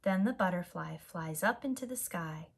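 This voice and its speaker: A woman reading a picture book aloud to young children in an expressive, deliberate manner as a storyteller. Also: lowish voice, vocal fry, slight background noise. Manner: slow, expressive, simple deliberate phrasing. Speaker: storyteller, preschool teacher.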